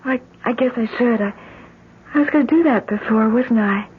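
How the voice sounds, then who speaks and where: Timid young daughter in vintage radio play; very breathy, hesitant, on a thin old radio recording. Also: female voice, fairly high pitch, phone-quality sound. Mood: timid, eager. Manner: hesitant, halting. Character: fragile, absent-minded young daughter, eager and uncertain. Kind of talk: old-time radio drama acting.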